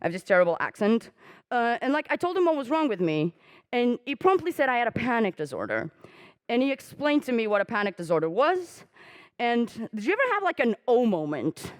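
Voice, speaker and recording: A woman's voice: very animated, fairly quick, no echo. A young adult woman whose first language is Portuguese, giving a candid, self-mocking conference talk on stage, swearing casually and acting out quoted conversations. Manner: acts out quoted dialogue with jumps in pitch. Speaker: conference speaker.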